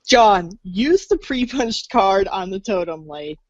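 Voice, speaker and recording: A woman's voice: mid-range voice, lively intonation, takes it slowly, dry recording, dull audio. A woman reading scripted second-person game narration in the manner of a read-along storybook.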